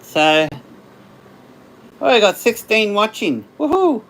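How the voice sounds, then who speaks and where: An Australian man hosting a casual livestream, chatting with viewers in a rambling way, his voice slightly hoarse after hours of talking. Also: high voice, big pitch swings, slow, a bit bright. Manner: rambling, chatty, laughs often. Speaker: livestream host. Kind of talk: casual live chat with viewers.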